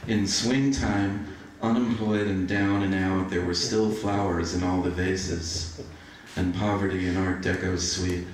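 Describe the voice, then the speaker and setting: A man reading poetry aloud at a live event in a measured way. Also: low voice. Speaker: poetry reader. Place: bookshop event, recorded from a distance. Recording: echoey room from far off, faint noise.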